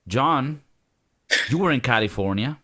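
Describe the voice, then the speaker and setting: A man's voice: mid-range voice, unhurried. A man hosting a casual podcast, joking and swearing freely as he tells stories. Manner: profane, joking, animated storytelling. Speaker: podcast host.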